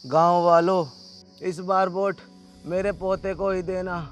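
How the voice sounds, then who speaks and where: A man's voice: sits high, fairly even intonation, slow, faint music behind. A man playing an earnest old great-grandfather addressing villagers in a Hindi comedy sketch.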